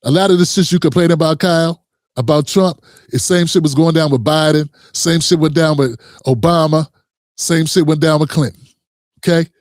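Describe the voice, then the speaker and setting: High-voiced man ranting in commentary. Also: steady tone, moderate pace, a little mellow, no room sound, clean studio sound. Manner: heated, emphatic, profane ranting; addresses viewers directly. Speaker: commentary host. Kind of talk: reaction commentary.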